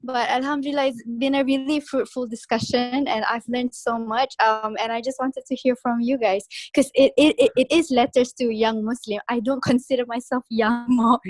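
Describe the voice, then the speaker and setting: A woman's voice: fairly high pitch, fairly even intonation, normal speed, few pauses, no room sound, poor audio. A woman in her thirties from Singapore, chatting casually on a video call, laughing often and full of fillers. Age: 30s. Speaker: guest newsletter writer.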